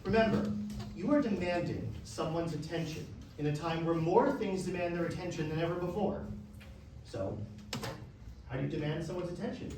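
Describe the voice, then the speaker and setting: A man's voice: a little high, moderate pace, darkish tone, natural voice. A man playing a stern, demanding music professor, lecturing curtly in a staged reading of a musical. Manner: lecturing, curt, exacting. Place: echoey room, staged reading. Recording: very echoey, distant, noisy room.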